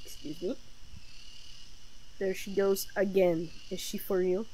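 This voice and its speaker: A woman streaming a game, giving casual, teasing reactions in a wry, animated way. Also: medium pitch, unhurried. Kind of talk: casual reacting commentary on a playthrough. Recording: faintly noisy stream with game ambience.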